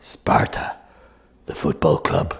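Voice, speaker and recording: A man voicing a dim, curious male puppet sidekick with a croaky voice in a comic puppet show. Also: takes it slowly, close to an everyday voice. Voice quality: very gravelly, croaky. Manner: naive, questioning.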